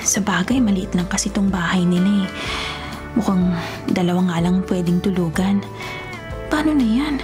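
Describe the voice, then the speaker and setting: A woman's voice: medium pitch, normal speed, pauses often, over music, close to an everyday voice. A woman acting in a radio drama as a rich young city woman, sweet, coy and smitten. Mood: nervous musing. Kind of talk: Tagalog radio drama acting with inner monologue.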